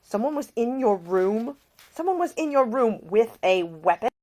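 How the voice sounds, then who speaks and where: A woman's voice: on the high side, sing-song, moderate pace, a little mellow, pitched above the performer's own voice. A woman voicing an ailing, fiercely protective man in love, emotional and insistent, as audiobook character dialogue. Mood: alarmed, ranting.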